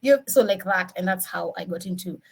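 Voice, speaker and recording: A woman's voice: on the low side, a little fast, creaky, a little hiss or hum. Kenyan woman, informal and encouraging speaker. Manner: animated, encouraging, emphatic rhetorical questions. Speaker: senior DevOps engineer, guest speaker. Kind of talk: informal motivational career talk, code-switching into Swahili.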